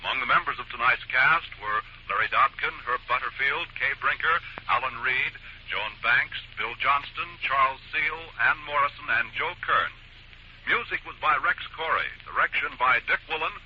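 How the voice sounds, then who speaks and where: Muffled 1940s American radio announcer, male. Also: on the high side. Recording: muffled vintage radio recording with noise.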